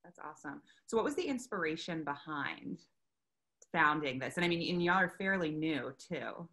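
A woman's voice: deep voice, expressive, sharp tone. A young woman hosting a casual video-call interview from outdoors in a park, upbeat and enthusiastic, often restarting her sentences. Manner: bubbly, enthusiastic, quick restarts. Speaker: interview host. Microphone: video call webcam.

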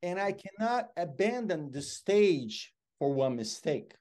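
Brazilian guy, portuguese first language, kinda high voice, talking with his hands on a video call from a home office. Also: takes it slowly. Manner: expressive, gestures a lot, lively storyteller. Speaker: guest, motivational speaker. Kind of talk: animated interview storytelling.

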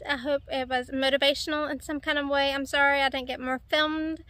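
A woman's voice: high-pitched, level tone, fairly quick, sharp tone, no echo. A young woman with a Southern US accent, chatting casually to a phone camera outdoors, friendly and a little rambling. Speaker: vlogger. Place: outdoors by fields, in summer. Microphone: phone at arm's length.

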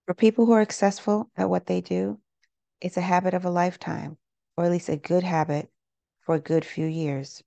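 Low, mellow woman guiding meditation. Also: fairly even intonation, vocal fry, dry recording. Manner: gentle, unhurried, measured delivery with pauses. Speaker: meditation guide. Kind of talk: guided meditation, scripted narration.

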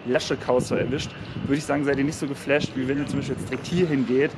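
A man's voice: mid-range voice, fairly even intonation, quick pace. Chatty young man, noisy street. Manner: chatty, eager to give tips, with fillers. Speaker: travel vlogger. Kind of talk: casual food vlog chat. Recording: loud street noise behind.

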